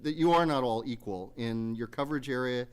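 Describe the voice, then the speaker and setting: A man speaking off the cuff as he chairs a public township meeting, measured and conciliatory, with folksy phrasing. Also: medium pitch, clear, resonant tone. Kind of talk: unscripted remarks at a public meeting.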